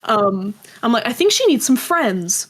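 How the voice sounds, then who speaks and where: A woman's voice: fairly high pitch, very animated, a little slow, a bit bright. A woman being interviewed as a creator, telling stories casually and self-deprecatingly and acting out little quoted exchanges. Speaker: guest, audio drama creator.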